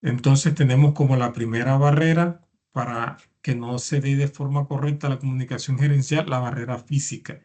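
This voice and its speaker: A man's voice: mid-range voice, steady tone, normal speed, a little mellow. A man giving a recorded university lecture, explaining step by step and often stumbling over repeated words and fillers.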